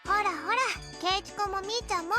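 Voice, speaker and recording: Very high-pitched cutesy anime schoolgirl. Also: rapid-fire, music in the background. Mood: playful. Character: cutesy, bubbly anime schoolgirl, childlike and playful. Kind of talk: Japanese visual novel voice acting.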